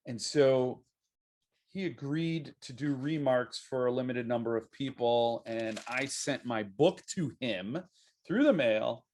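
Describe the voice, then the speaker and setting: A man's voice: mid-range voice, slow, a little mellow, slight background noise. A man from the United States hosting a casual interview, chatty and self-deprecating, with frequent restarts. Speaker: podcast host.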